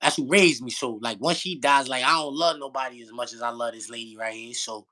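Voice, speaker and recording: A man's voice: medium pitch, brisk. A middle-aged man telling stories in casual, profane street slang, emphatic and repeating himself for effect. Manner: animated, emphatic, repetitive, profane.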